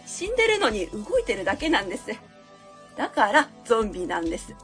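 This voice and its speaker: High-pitched, overbearing woman consultant played by an actress. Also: huge pitch swings, fast, a bit bright, soft background music. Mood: dismissive, cold. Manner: didactic, asks and answers own questions. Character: overbearing female consultant lecturer, cold and self-assured. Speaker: actor in a web radio drama. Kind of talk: radio drama acting, delivering a presentation.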